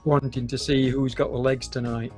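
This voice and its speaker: A man with a cold commentating a live esports cycling race, hesitant and full of fillers, with a hoarse voice and occasional coughs. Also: mid-range voice, little pitch movement, a little mellow, soft background music. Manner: hesitant, many fillers, unhurried.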